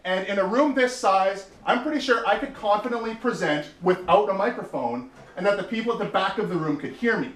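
A loud, outgoing man from Canada speaks from a stage in a bar venue, his voice pitched a little higher than most men's. He banters with the crowd, drops profanity and keeps an easy, joking energy through a talk on presenting. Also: expressive, a little fast, hardly any pauses, poor audio. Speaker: conference speaker. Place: bar venue before live audience.

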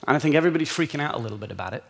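Chatty man with a softened northern English accent. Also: fairly high pitch, lively intonation, rapid-fire, husky. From England. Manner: chatty, informal, with frequent restarts. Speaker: conference speaker. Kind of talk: conference talk. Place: large conference hall. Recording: echoey conference hall.

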